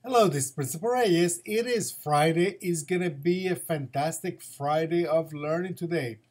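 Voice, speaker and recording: A man with a fairly high voice reads the morning announcements as a school principal. He sounds upbeat and encouraging and keeps circling back to the same advice. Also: normal speed, a little mellow.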